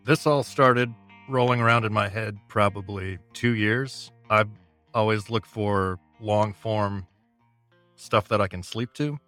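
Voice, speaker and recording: Low-voiced man in a casual interview. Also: measured pace, fairly bright tone, faint music behind. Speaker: interviewee, audio fiction creator.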